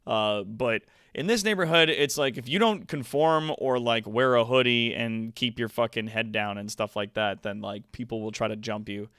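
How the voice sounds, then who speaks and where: A man's voice: medium pitch, normal speed, hardly any pauses, clear, resonant tone, no echo, clean studio sound. A man hosting a livestream, chatting casually with viewers and swearing freely. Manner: sweary, animated asides. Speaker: livestream host. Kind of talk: casual chat with stream viewers. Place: indoor home streaming room.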